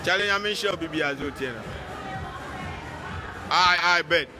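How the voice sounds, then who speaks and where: A young man with a Ghanaian accent filming a casual vlog on his phone inside a shopping mall, loud and playful. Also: on the high side, moderate pace, music in the background, crowd noise. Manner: loud, animated and playful, switching between languages. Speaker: vlogger. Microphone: phone at arm's length.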